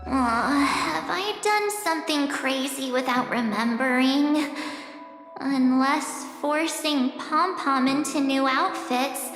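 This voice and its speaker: An actress voicing a perky, naive young girl adventurer in an anime game, expressive, exclamatory and easily flustered. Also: high voice, takes it slowly, heavily acted. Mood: worried. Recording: echoey long reverb, loud music.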